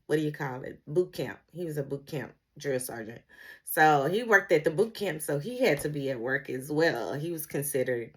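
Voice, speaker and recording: A middle-aged woman talking in a casual, rambling solo vlog style, often searching for her words. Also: sits low. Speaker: vlogger, former emergency dispatcher.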